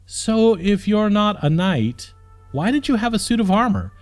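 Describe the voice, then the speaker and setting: Man putting on a whiny spoiled young princess, pitched high. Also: big pitch swings, unhurried, soft background music. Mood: huffy. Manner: whiny, haughty, insistent. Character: spoiled, headstrong young princess, indignant and pleading.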